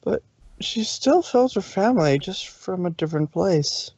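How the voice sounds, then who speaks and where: A man's voice: sits high, expressive, a little slow, darkish tone, husky, dry recording, dull audio. A man narrating a fan fiction story on a live stream, adding casual asides. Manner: plain reading with asides. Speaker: reader, narrator.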